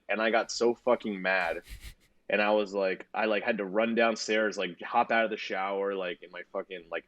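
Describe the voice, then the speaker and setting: A man's voice: fairly low pitch, fairly bright tone, dull audio. A man in his twenties co-hosting a podcast, telling a long story in casual chat with lots of swearing and filler words. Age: 20s. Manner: rambling, swears a lot, many fillers. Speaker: podcast co-host.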